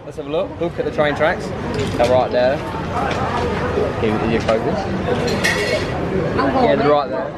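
A man's voice: fairly high pitch, very animated, measured pace, long pauses. A British man in his twenties chatting casually to a vlog camera held at arm's length outdoors. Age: 20s. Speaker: travel vlogger. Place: outdoor cafe and street in a busy city. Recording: loud street crowd and traffic noise. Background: crowd chatter and traffic.

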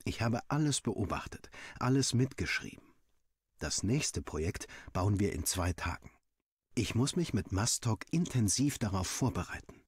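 A man reading a first-person story as a narrator, acting out the dialogue in an animated way. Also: on the low side, slightly rough, no room sound, studio quality. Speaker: audiobook reader.

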